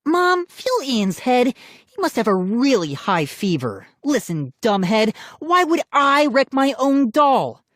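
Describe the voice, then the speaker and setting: A woman voicing a bratty little sister in a children's scary audiobook, angry and accusing, wailing and shouting. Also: a little high, very animated, takes it slowly, no room sound, studio quality, pitched above the performer's own voice. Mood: bratty, scornful.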